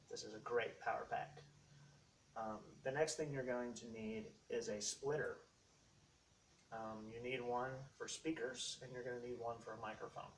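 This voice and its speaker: Man explaining gear, recorded from a distance. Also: mid-range voice. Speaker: host of a how-to tech video series. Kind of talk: casual unscripted product walkthrough. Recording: distant mic, faint background noise.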